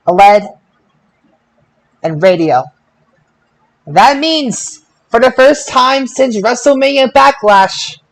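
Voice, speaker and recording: A man hosting a casual podcast results show, announcing in a drawn-out way with repeated phrases. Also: high-pitched, poor audio.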